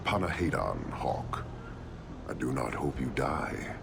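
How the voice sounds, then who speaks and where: An actor playing a stern, coldly courteous horned giant warlord in a fantasy video game, gravelly and measured, speaking in terse formal phrases. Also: male voice, animated, whispered, full character voice. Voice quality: deep, gravelly. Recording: game music behind the voice.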